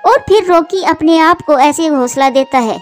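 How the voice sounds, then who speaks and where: High-pitched woman narrating a children's story. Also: a little slow, faint music behind.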